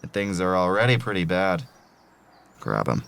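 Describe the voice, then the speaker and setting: A man's voice: deep voice, very animated, normal speed, creaky, fairly bright tone, a little hiss or hum, heavily acted. A man playing a menacing gang leader in an audio drama, taunting with falsely courteous, folksy threats.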